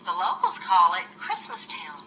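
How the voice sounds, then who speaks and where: A woman's voice: mid-range voice, big pitch swings, moderate pace, dull audio, slight background noise. An older woman telling a children's story expressively, as a grandmother reading aloud. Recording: roomy, distant home recording with music. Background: music.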